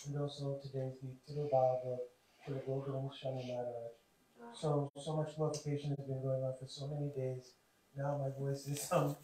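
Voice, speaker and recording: Hoarse man speaking in a cavernous hall. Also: mid-range voice, mellow, slight background noise. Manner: earnest, explanatory, measured. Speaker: devotee speaker. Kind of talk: devotional talk. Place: temple hall. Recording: very echoey hall, voice from far off.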